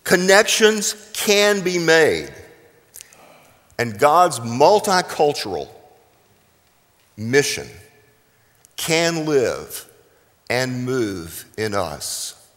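American preacher, animated, in an echoing hall. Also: male voice, medium pitch. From South Texas, United States. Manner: deliberate, with dramatic emphasis and pauses. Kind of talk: sermon. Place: large reverberant room, speaking to a congregation. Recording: echoing hall with long reverb.

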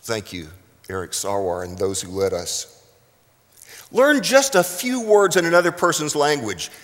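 American preacher, animated, in an echoing hall. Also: male voice, a little high. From South Texas, United States. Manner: deliberate, with dramatic emphasis and pauses. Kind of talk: sermon. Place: large reverberant room, speaking to a congregation. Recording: echoing hall with long reverb.

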